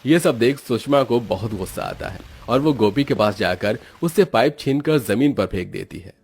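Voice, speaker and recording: A man's voice: medium pitch, animated, normal speed, gravelly, a little mellow, breathy edge. A man giving scripted narration as the storyteller of a moral tale.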